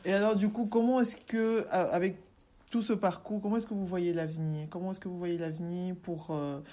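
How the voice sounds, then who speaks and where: Low-pitched woman interviewer. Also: fairly even intonation, brisk, warm tone. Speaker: podcast host, interviewer. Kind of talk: casual interview with frequent encouraging interjections.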